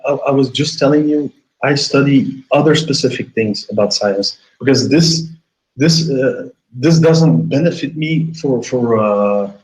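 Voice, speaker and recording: A man's voice: mid-range voice, a little slow, darkish tone, reverberant, rough recording. A man in his twenties calls in to a debate, speaking hesitantly with lots of stammering and apologies. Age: 20s. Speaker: caller. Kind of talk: heated call-in debate.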